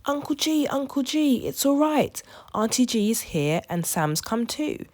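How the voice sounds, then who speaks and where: A woman voicing an earnest, excitable young boy in a storybook, with an exclamatory manner. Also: a little high, measured pace, pitched above the performer's own voice. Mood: excited calling.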